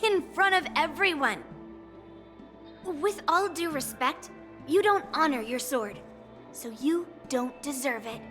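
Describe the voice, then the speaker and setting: A woman's voice: high voice, animated, takes it slowly, pauses often, fairly bright tone, faint music behind, heavily acted. A woman playing a cocky, hot-tempered young swordswoman in a video game, with a brash, taunting way of talking. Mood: indignant. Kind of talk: video game character acting.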